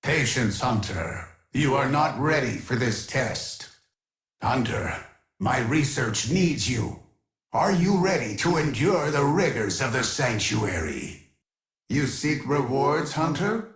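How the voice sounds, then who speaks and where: An actor playing an obsessive, imperious keeper of a digital archive in a video game, speaking in a formal, pompous, measured way. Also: male voice, mid-range voice, sing-song, roomy sound. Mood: stern, demanding. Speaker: video game quest-giving character. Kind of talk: scripted video game character dialogue.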